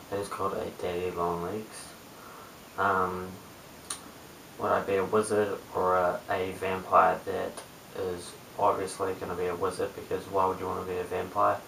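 A young man in his late teens with a New Zealand accent, chatting casually to camera in a low-key, hesitant mumble. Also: gravelly, a bit bright. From Christchurch, New Zealand. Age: young adult, late teens. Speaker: amateur video blogger. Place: small bare room indoors. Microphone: webcam at a short distance. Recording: roomy, distant webcam mic with hiss.

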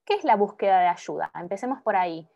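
A young woman presenting her doctoral research over a video call, pausing often with fillers and restarts. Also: medium pitch, animated, vocal fry, warm tone. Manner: hesitant, many filler sounds and restarts. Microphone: laptop microphone on video call. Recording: muffled video call audio.

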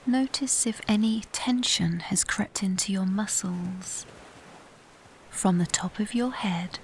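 A woman guiding a scripted meditation in a soft, breathy near-whisper, calm, soothing and unhurried with long pauses. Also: medium pitch. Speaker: meditation guide. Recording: steady background hiss.

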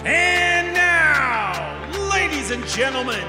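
A booming, high-pitched hype announcer drawls his lines slowly, shouting over loud music, as a man puts on the voice. The voice swings widely and rings in a roomy mix. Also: lively intonation, full character voice. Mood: hyped. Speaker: show intro announcer.

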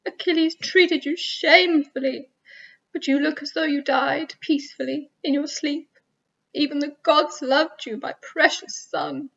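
A woman voicing an old queen mourning her son, wailing high and anguished. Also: fairly even intonation, a little slow, fairly bright tone, far from the mic, highs cut off.

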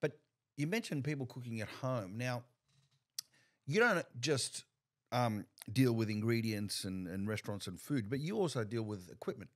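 Casting note: middle-aged man, podcast host, mid-pitched, stop-start casual questioning. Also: lively intonation. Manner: halting, repeats words while thinking. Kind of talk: casual interview chat. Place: bar-like studio room, indoors. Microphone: desk mic on a stand.

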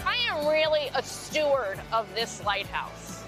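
A woman's voice: high-pitched, expressive, a little slow, over music, poor audio. Enthusiastic middle-aged woman, interviewed outdoors. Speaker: lighthouse owner being interviewed. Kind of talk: interview answers. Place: outdoors by a lake.

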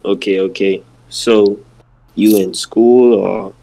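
A man's voice: lowish voice, fairly even intonation, slow pace, creaky, warm tone, poor audio. A teenage boy making awkward, hesitant small talk as a contestant on a video call. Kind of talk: casual chat on a group call.

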